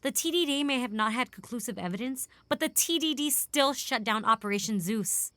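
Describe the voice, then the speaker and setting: A woman's voice: on the high side, animated, brisk, a bit bright, no echo. A woman acting a defiant, bitter operative in an audio drama, blunt, scolding and unsentimental. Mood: bitter, angry.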